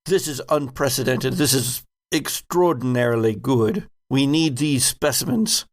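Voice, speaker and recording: A man voicing a fastidious lead scientist with a formal, deliberate manner in a tabletop game. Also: medium pitch, close to an everyday voice. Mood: earnest, urgent.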